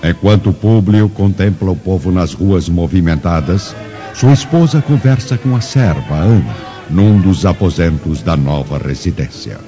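A man's voice: low voice, expressive, unhurried, dark tone. A man giving solemn, formal, dramatic scripted narration as the narrator of a radio drama. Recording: dull sound with music bed.

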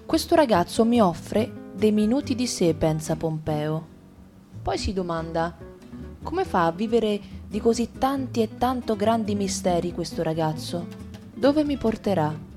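A woman reading a literary text for radio theatre in a measured, ironic storyteller tone. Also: medium pitch, lively intonation, over music. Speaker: radio drama reader.

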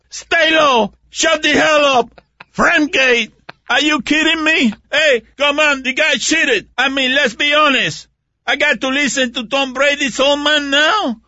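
An older man with a heavy Cuban accent reading out a shouted rant with gleeful energy, played back as dull lo-fi audio. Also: very high voice, no room sound. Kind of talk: reading an on-air rant aloud.

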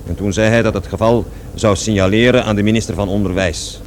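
Over clear street noise, a male actor plays a defiant young man in a radio play. His voice sits fairly low, and he tells stories of school and his father in scornful, slangy, colloquial speech. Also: steady tone, fairly quick, natural voice. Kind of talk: radio drama acting, animated storytelling.

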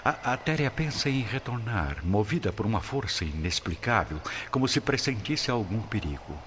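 A man's voice: on the low side, animated, moderate pace, slight background noise. A Brazilian man giving solemn, literary narration for a radio drama audiobook. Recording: dull audio under loud dramatic music.

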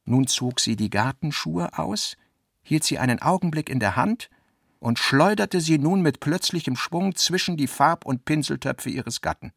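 A man narrating a literary radio drama in a deliberate manner, with a gravelly voice. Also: a little high, lively intonation, fairly bright tone, dry recording, studio quality.

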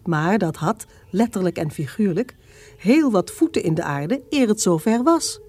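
A woman's voice: medium pitch, lively intonation, moderate pace, a little mellow. A woman narrating history from a script. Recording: clear background noise.

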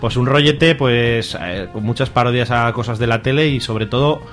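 A man's voice: medium pitch, moderate pace, a bit bright, faint music behind. A man with a Spanish accent from Spain co-hosting a casual radio chat about comics, colloquial and joking. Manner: colloquial, jokey. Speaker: radio show co-host.

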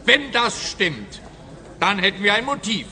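A pompous, needling policeman in a radio crime comedy. His voice sits fairly high and is sharp and forward, ringing in a reverberant room. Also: very animated, a little slow, heavily acted. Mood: official. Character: male police officer, smug, pompous, grumbling, needling a private detective. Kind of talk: German-language radio comedy crime play.

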